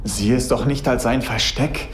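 An actor playing a doting partner who soothes and pleads in a sci-fi audio drama. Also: male voice, mid-range voice, a little slow, over music, close to an everyday voice. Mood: coaxing. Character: Eva's coaxing, doting male partner. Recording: echoey room.

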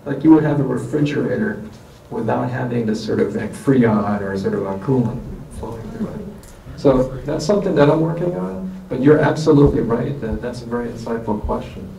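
Gravelly, dark-voiced man in an echoey room. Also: mid-range voice, lively intonation, a little fast, far from the mic, a little hiss or hum. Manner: explanatory, uses analogies. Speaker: panelist scientist. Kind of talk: answering audience questions. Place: lecture room with audience.

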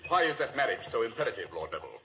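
Brisk urbane detective. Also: male voice, mid-range voice, big pitch swings, crowd noise. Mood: urbane, probing. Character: eminent English detective, urbane, dry, brisk. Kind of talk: 1940s radio acting. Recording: noisy, muffled 1940s radio recording.

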